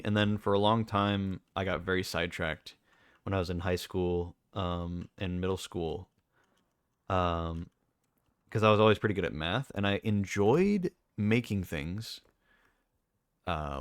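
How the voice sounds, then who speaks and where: A young man with a deep voice, talking casually and rambling with lots of fillers and restarts as a streamer. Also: clean tone, no room sound, clean studio sound. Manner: rambling, self-correcting, many fillers.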